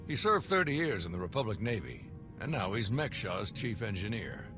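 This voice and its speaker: Low, courteous fleet admiral. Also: male voice, big pitch swings, normal speed. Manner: courteous, military. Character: courteous, dutiful male vice admiral of space fleet. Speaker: naval officer, fleet commander. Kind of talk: video game dialogue. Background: music.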